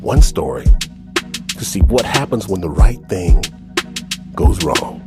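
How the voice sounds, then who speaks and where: A man's voice: deep voice, animated, slow pace, gravelly. A man hosting a podcast, delivering a scripted story intro with theatrical, dramatic phrasing. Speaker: podcast host. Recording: loud music under the voice. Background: hip hop music.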